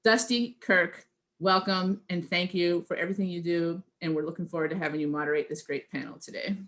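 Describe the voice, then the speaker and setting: A woman's voice: lowish voice, fairly even intonation, brisk, fairly bright tone. A woman host reading out a speaker's credits to introduce her over a video call. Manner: reads a list of credits. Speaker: event host introducing the moderator.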